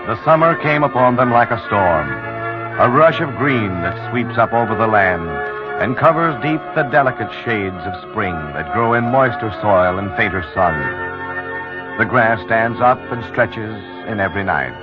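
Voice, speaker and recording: A man acting as the solemn, poetic, measured narrator of a 1940s radio drama. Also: lowish voice, lively intonation. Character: solemn poetic storyteller of a stranded town. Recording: muffled old radio recording, music behind.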